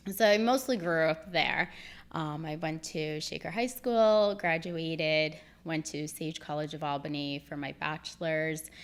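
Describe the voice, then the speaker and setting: A woman in her thirties, born in Egypt, a real estate broker talking casually as a podcast guest, with plenty of "um"s as she recounts her path. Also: low voice. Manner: relaxed storytelling with frequent "um". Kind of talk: casual interview.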